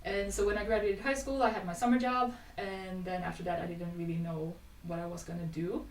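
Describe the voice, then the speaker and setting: A woman's voice: fairly low pitch, steady tone, fairly quick. A twenty-year-old woman from Sweden, chatting casually as a podcast guest and telling stories. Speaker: podcast guest, youth volunteer. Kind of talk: casual conversational storytelling. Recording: echoey room, distant mic, faint noise.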